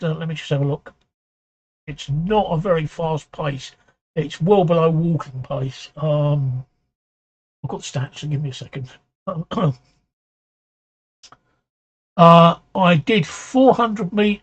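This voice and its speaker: A man hosting a casual livestream chat, his voice going hoarse, speaking haltingly, repeating words and often clearing his throat. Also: fairly high pitch, highs cut off. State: voice getting hoarse. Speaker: livestream talk show host. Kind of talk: casual livestream chat answering viewer comments.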